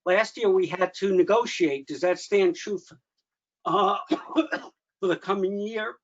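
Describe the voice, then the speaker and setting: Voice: mid-range voice, a little slow. Meeting moderator, informal delivery, reading questions aloud, lo-fi muffled video call sound. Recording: dull lo-fi video call audio.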